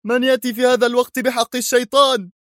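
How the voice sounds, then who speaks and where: A shrill, furious collector who shouts every line in an explosive, high-strung way, as a male narrator puts him on. The voice leaps high above his usual pitch and stays loud. It is a dry, close audiobook reading. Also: level tone, quick pace, no echo. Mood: angry, agitated. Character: furious excitable foreign male art collector.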